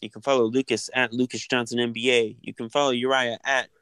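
A man's voice: mid-range voice, flat delivery, fast, a bit bright, clear, resonant tone, dry recording. A man hosting a casual sports podcast, talking in an easygoing way with lots of fillers and restarts. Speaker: podcast host.

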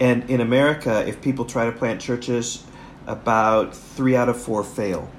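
Enthusiastic pastor hosting a reading. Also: male voice, mid-range voice, moderate pace, slight background noise. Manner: lively, enthusiastic, with asides to listeners. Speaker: pastor and host. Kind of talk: casual chat, then Bible reading.

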